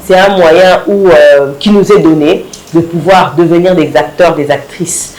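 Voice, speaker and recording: Hoarse woman with a cold, halting. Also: fairly low pitch, darkish tone, echoey room, rough recording. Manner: halting, many fillers and restarts. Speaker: guest, party women's league coordinator. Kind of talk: hesitant interview answers.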